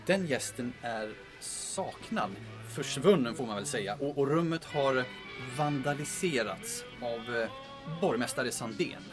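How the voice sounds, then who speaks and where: A man playing an awkward, evasive hotel receptionist with a formal, halting manner in an audio drama. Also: mid-range voice, animated, over music, lightly acted. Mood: awkward, uneasy.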